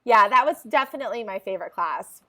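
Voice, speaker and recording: A woman's voice: medium pitch, expressive, fast talker, sharp tone. A woman in her forties, a podcast guest, telling her story in a chatty way with frequent laughs. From New Jersey. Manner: chatty, laughs often, restarts phrases. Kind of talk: casual interview chat.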